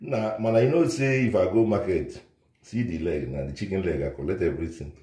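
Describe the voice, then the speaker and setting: A man's voice: deep voice, brisk, dark tone. An older man chatting as he cooks in his home kitchen, repeating himself and boasting of his dishes. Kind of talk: casual cooking video. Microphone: phone camera held by another person. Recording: roomy kitchen, mic a bit far.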